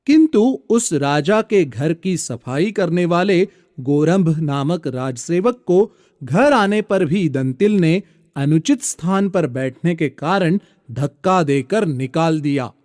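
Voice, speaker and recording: A man tells a scripted fable in formal, careful narration. His voice sits a little high and moves slowly. Soft music runs beneath the recording. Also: no room sound. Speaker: storyteller. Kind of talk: scripted moral-story narration. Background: soft background music.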